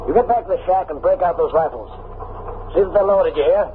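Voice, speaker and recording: A man acts a gruff, wary prospector father in an old radio play, his pitch swinging widely as he gives orders. The vintage recording is tinny, with faint noise. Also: lively intonation, a little fast, lightly acted. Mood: suspicious, commanding. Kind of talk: old-time radio drama acting.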